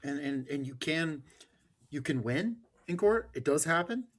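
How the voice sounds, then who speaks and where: A man's voice: a little high, animated. Emphatic American man hosting a podcast. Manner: emphatic, profane, halting with repeated words. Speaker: podcast host. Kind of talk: casual political discussion.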